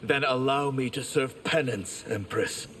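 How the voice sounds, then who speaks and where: A man's voice: mid-range voice, unhurried, close to an everyday voice. A man playing a proud warrior in a fantasy video game, speaking earnestly and formally. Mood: grave, resolute. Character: proud male warrior addressing his ruler. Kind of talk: video game dramatic acting. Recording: long reverb, loud noise and clicks.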